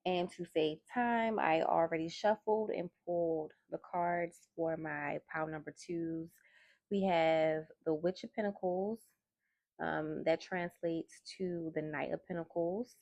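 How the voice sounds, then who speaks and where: A soft-spoken woman doing a tarot card reading, talking in a slow, musing way. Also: lowish voice, fairly even intonation, dry recording, dull audio. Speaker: tarot reader. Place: indoors at a table.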